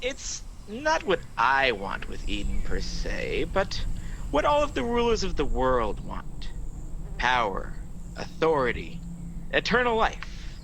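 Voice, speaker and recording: A man's voice: medium pitch, wildly swooping pitch, slow, pushed and bright, full character voice. A man playing a sly, mocking, boastful fallen angel in a radio play, sardonic and theatrical, on a noisy home recording. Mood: smug, persuasive. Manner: smooth-talking, sardonic, theatrical.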